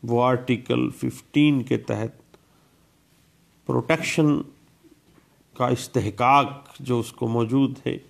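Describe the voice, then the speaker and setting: A man's voice: mid-range voice, fairly even intonation, slow, gravelly, long pauses. A man giving a law lecture, explaining legal rules step by step and mixing in English legal terms. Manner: explanatory, repeats statute citations, Urdu legal terms with English words.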